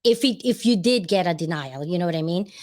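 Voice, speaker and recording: A woman's voice: on the low side, animated, fairly quick. A woman with a Filipino accent talking casually to her viewers, rambling and stopping often to search for the right words. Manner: chatty, laughs easily. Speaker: vlogger giving advice. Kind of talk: casual talk to camera.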